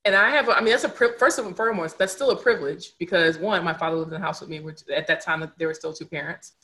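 A woman's voice: on the low side, quick pace, bright, forceful tone, poor audio. A young adult woman speaking candidly as a podcast guest, earnest and reflective, repeating words for emphasis. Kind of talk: candid conversational storytelling.

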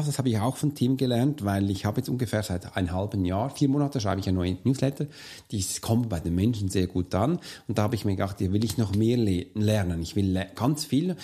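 A hoarse man, a coach and podcast host, talking earnestly and straight to the listener in a solo motivational monologue. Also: fairly low pitch, mellow.

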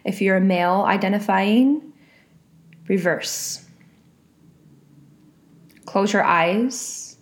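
A woman leading a guided meditation, giving calm instructions with long pauses. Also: medium pitch.

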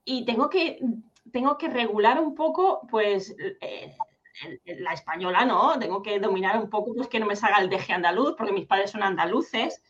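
A woman's voice: medium pitch, normal speed. Chatty Catalan woman host, dull sound. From Catalonia, Spain. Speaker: podcast host. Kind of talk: interview conversation.

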